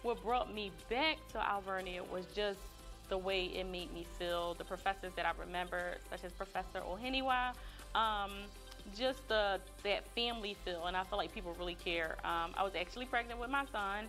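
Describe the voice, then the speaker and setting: A woman's voice: mid-range voice, steady tone, moderate pace, fairly bright tone, soft background music. A woman returning to graduate, speaking with heartfelt gratitude in a testimonial interview.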